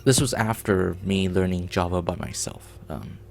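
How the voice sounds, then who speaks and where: A man's voice: low voice, moderate pace, vocal fry, background noise. A man hosting a programming livestream, explaining in a rambling casual way with many ums and restarts.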